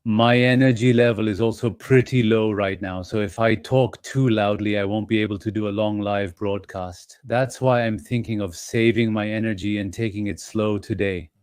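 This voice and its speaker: A man hosting an investing channel, talking in a gentle, reassuring and slightly apologetic way to his members, with small fillers as he thinks aloud. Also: on the low side, steady tone, moderate pace, few pauses, smooth, no room sound, clean studio sound. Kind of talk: casual live-stream talk to members.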